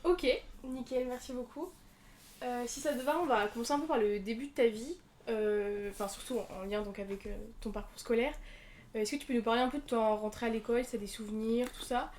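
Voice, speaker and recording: A woman's voice: on the high side, a little fast, darkish tone, a little hiss or hum. A woman hosting a podcast, reading a scripted introduction and then interviewing a guest politely. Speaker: podcast host.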